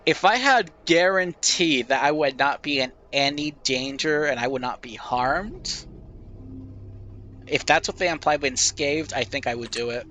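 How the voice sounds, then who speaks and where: Young man, nasal, bright voice, rambling self-deprecating game commentary, faint background noise. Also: a little high, expressive, unhurried. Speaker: gamer playing a question game. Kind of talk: casual reflective commentary.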